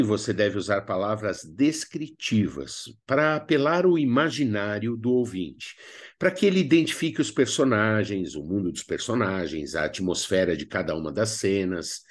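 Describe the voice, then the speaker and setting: A man with a Brazilian accent presenting an instructional lesson in a didactic, expressive way with colloquial asides. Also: lowish voice. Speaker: drama teacher presenting a video lesson. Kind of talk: instructional narration with acted examples.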